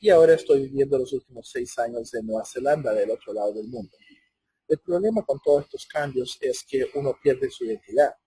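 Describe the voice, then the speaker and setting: A man's voice: mid-range voice, brisk, warm tone, no echo. A middle-aged man from Chile, whose first language is Portuguese, speaking Spanish with a Portuguese-tinged accent and giving a technical webinar in a conversational way, often restarting his words. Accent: Brazilian Portuguese-tinged Spanish. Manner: conversational, many restarts and self-corrections. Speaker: webinar presenter. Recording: muffled, hoarse-sounding office audio.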